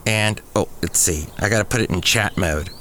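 A man's voice: low voice, moderate pace, heavy background noise. A man hosting a podcast in a casual, chatty solo style. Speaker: podcast host.

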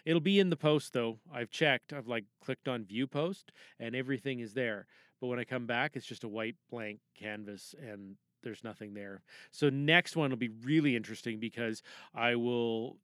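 A man's voice: medium pitch, moderate pace, fairly bright tone, dry recording, studio quality. A man hosting a podcast in a rambling, casual, self-deprecating and scattered way, recorded in a booth. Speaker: podcast host.

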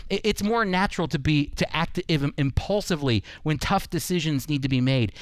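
Guy doing radio preaching, slightly high voice, earnest emphatic delivery, really dry studio sound. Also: no room sound. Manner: earnest, emphatic phrasing with rhetorical questions. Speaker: radio Bible teacher and host. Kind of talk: devotional radio teaching, preaching.

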